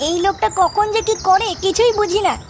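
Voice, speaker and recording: A woman voicing a scolding, sharp-tongued young cartoon housewife, pitched up and accusatory. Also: a little fast, slight background noise. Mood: nagging. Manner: nagging, accusatory.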